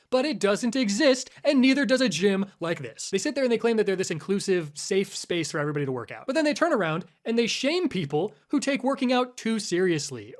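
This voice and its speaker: An American man in his twenties giving sarcastic, casual comedic commentary in an animated way, close to the mic. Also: high voice. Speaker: reaction video commentator. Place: small furnished room indoors. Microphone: close desk microphone.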